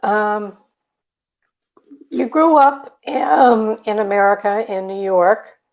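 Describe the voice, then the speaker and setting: A woman's voice: medium pitch, steady tone, takes it slowly, creaky, muffled recording. A woman hosting a show, asking questions in a conversational interviewing style. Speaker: host, interviewer.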